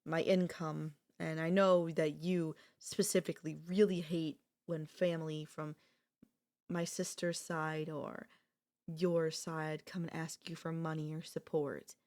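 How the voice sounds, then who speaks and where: A woman voicing an obsessive yandere sister-in-law in an ASMR audio roleplay, sweetly menacing, coaxing and chatty, calmly unapologetic. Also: deep voice, unhurried. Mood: calmly confessional.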